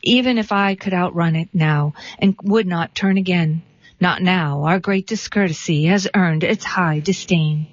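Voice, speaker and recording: A man's voice: sits high, a little slow, no room sound. A woman reading the lines of the old patriarch Noah, distraught, in a poem. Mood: lamenting. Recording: dry, dull lo-fi sound.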